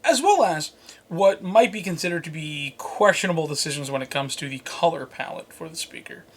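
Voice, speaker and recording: A man's voice: on the high side, animated, brisk, a little hiss or hum. A man hosting a product review, mixing scripted lines with casual, jokey asides. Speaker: host and reviewer.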